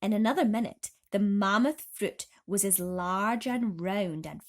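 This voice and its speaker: A woman's voice: medium pitch, a little slow. A woman reading a children's bedtime story expressively, with dramatic emphasis, as a host talking to young listeners.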